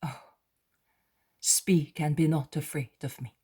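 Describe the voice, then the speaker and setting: A woman voicing a calm, kind male teacher who speaks gently and steadily. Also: a little high, breathy edge, full character voice. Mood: gentle. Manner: gentle, measured.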